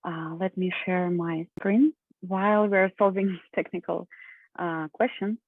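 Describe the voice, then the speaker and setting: A woman's voice: on the low side, steady tone, a little slow, dry recording. Woman from Russia presenting over a call. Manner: friendly, with small laughs and fillers. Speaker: conference speaker. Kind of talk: online conference presentation. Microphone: video call. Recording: tinny phone-like online call audio.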